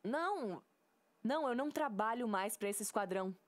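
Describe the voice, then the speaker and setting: Atriz como tenente desafiadora, entonação viva. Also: female voice, on the high side, expressive, normal speed, natural voice. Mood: defiant, weary. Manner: firm, challenging. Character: defiant, proud female squadron lieutenant. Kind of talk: audio drama acting.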